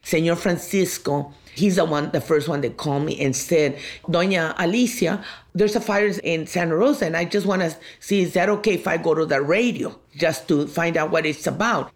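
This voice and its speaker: A woman's voice: fairly low pitch, moderate pace. A woman, a radio station manager telling stories in an interview with laughter. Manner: laughing, lively storytelling.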